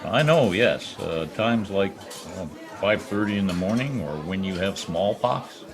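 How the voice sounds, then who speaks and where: A man's voice: sits low, animated, measured pace, crowd noise. A man playing a wry, wisecracking private detective who poses as a lonely oilman, in old radio drama style. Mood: flirtatious, joking. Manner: wry, quipping. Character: wisecracking male private eye posing as lonely Oklahoma oilman. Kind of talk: 1940s radio detective acting, first-person narration. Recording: remote video-call recording with music.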